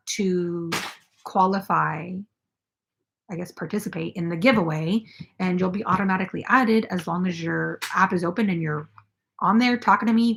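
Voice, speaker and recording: A woman's voice: medium pitch, creaky, slight background noise. A woman hosting a crochet live stream from her bedroom, chatting casually and rambling with fillers into a camera on a tripod. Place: bedroom at home.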